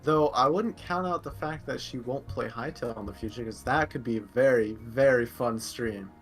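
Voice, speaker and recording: A man's voice: medium pitch, normal speed, soft background music. A man in his twenties hosting a podcast, chatting casually and asking easygoing follow-up questions. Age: 20s. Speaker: podcast host. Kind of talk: casual chat.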